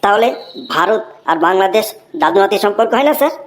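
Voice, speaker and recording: A woman's voice: mid-range voice, lively intonation, quick pace, natural voice. A woman voicing an earnest, cheeky Bengali schoolboy in a comedy cartoon, deferential and reciting like a pupil. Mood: cheeky, matter-of-fact. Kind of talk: comic cartoon voice acting. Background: music.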